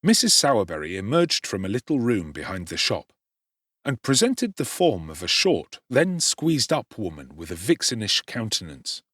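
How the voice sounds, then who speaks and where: A man narrates a classic novel with strong theatrical expression and a husky tone. His pitch swings very widely, and the recording is dry and close. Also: huge pitch swings, normal speed, fairly bright tone, no room sound. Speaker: audiobook narrator. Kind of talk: dramatic audiobook narration.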